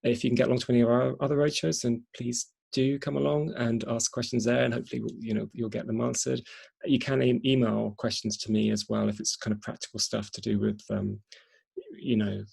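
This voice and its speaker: Gravelly, husky male panel host. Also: mid-range voice, level tone, fairly quick. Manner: appreciative, hesitant, softens with hedges. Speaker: host, event chair. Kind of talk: hosting an online panel event.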